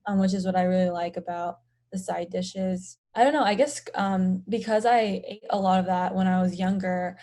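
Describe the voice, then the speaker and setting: Mellow-voiced young woman explaining gently. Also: on the low side, steady tone, moderate pace, hardly any pauses. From United States. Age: young adult. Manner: soft-spoken, explains with many "um" and "like". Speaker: pharmacy student guest. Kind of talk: casual interview talk.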